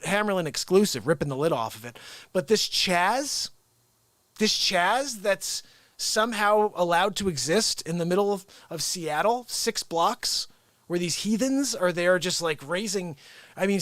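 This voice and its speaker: A man from the United States hosting an unscripted live stream, rambling excitedly, chuckling and greeting viewers by name. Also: high-pitched, no room sound. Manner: hypes the show with catchphrases. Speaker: livestream show host.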